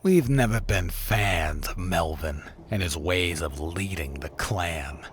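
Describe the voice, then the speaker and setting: A ruthless male clan fighter with a deep voice and no loyalty. He talks slowly and contemptuously in visual novel style, his pitch moving widely. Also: lively intonation, slight background noise. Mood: cold contempt.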